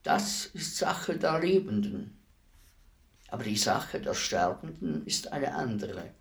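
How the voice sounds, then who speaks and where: An actor playing a calm, implacable executioner in a radio play, speaking in a measured, politely formal, unperturbed manner. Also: male voice, on the low side, vocal fry, exaggerated voice. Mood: calm, grave. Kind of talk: theatrical radio play acting.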